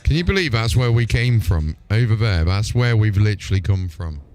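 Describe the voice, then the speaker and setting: A man with a low voice talks informally and playfully, as a streamer does while gaming. Music plays faintly behind him. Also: expressive, brisk, a little mellow. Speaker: streamer and gamer. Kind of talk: casual live gaming commentary.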